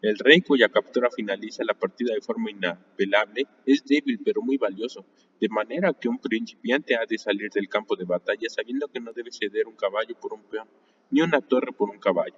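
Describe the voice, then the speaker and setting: Man, live book reader, measured delivery; distant mic, long reverb, dull lo-fi sound. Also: fairly low pitch, breathy edge. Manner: measured reading with occasional restarts. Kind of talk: live reading aloud of a nonfiction book.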